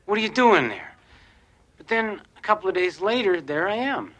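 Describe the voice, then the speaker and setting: A man's voice: sits high, very animated, normal speed, a bit bright, exaggerated voice. An actor playing an earnest, hesitant man drawn to a waitress, in naturalistic television drama. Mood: defensive, sincere.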